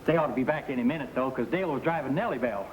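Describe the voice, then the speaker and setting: A man's voice: medium pitch, racing, lightly acted. A man acting a folksy sidekick with rustic speech in an old-time western drama. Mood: chatty, folksy. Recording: faintly noisy old western soundtrack.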